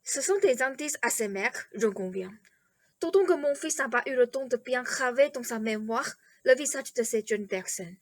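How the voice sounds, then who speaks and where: Woman playing high-voiced, ceremonious king. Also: expressive, no room sound. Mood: courteous, confiding. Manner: formal, over-polite, measured. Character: courteous, eccentric, ceremonious old-fashioned king, a doting father. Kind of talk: theatrical radio play acting.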